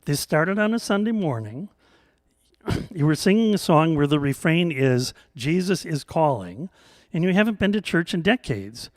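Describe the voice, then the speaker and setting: A wry older fishing buddy played by a male storyteller, reasoning things out in a folksy, matter-of-fact way. His voice sits a little high for a man and is recorded dry. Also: expressive, moderate pace, no room sound, close to an everyday voice. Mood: wry, assured.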